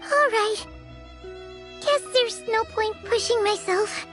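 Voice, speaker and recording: A woman voicing a tiny, cheery, excitable childlike companion in a video game, with a squeaky voice and exclamatory delivery. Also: slow, music in the background. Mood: puzzled. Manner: refers to self in third person, exclamatory.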